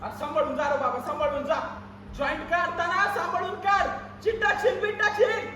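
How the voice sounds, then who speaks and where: Very high, meek comic workman played by a man. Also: steady tone, normal speed, sharp tone. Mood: nervous. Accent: rural Maharashtrian. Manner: placating, repeats short replies. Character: meek, excuse-making master on a labour gang, comic. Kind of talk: amateur comic stage acting. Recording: echoey hall, distant mic, noisy audience.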